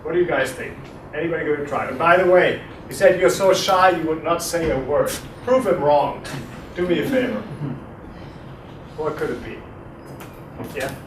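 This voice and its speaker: A middle-aged man from Germany with a German accent, giving a relaxed, hesitant university guest lecture and quizzing the audience. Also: a little high, moderate pace, pauses often. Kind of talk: university talk with audience questions. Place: lecture room. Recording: echoey room, distant, noisy.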